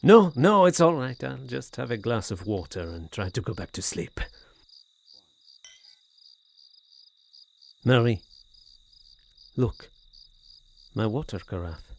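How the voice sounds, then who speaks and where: An actor playing a tormented, anxious French gentleman in an audio horror drama, speaking formally with hesitant, brooding, theatrical delivery. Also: mid-range voice, animated, a little slow, gravelly, long pauses. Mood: uneasy alarm. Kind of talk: audio horror drama acting, period literary diction.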